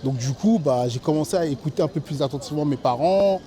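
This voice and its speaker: A man from France giving a personal testimony in an interview as a food truck chef, speaking haltingly and repeating words as he searches for phrasing. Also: fairly high pitch, warm tone.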